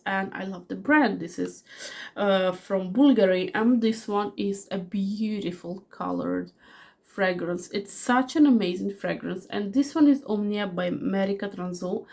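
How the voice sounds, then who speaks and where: Casual: forties lady fighting a cold, rambly perfume review, lots of ums and restarts, mid-pitched voice. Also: darkish tone. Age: 40s. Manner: chatty, rambling with many fillers and restarts. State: has a cold. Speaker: fragrance reviewer. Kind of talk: casual product review vlog.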